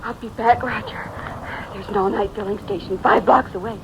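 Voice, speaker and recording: A woman's voice: mid-range voice, fairly even intonation, moderate pace, a crowd in the background, natural voice. An actress playing a frantic, grief-stricken woman talking breathlessly to herself in an old-time radio thriller. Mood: terrified. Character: frantic, grief-stricken woman searching for her buried sweetheart. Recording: noisy old radio recording, rain behind.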